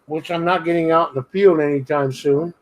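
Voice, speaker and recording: A man with a slight Brooklyn-ish accent grumbles bluntly and swears freely in casual chat. His voice sits a bit high, with faint noise behind it. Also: steady tone, brisk. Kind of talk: casual late-night chat.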